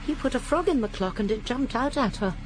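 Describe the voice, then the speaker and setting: An actress playing a shrewd, composed elderly English spinster detective in a radio drama, with a gentle, breathy voice. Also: female voice, on the high side, expressive, brisk, background noise, lightly acted. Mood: gentle, assured. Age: older.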